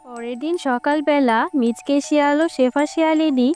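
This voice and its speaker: A woman's voice: high-pitched, fairly even intonation, moderate pace, darkish tone, faint music behind. A woman reading scripted narration for an animal cartoon story.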